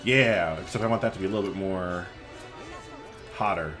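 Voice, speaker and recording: A man's voice: deep voice, normal speed, over music. A man giving casual, chatty gameplay commentary as a let's play host, thinking aloud informally as he goes.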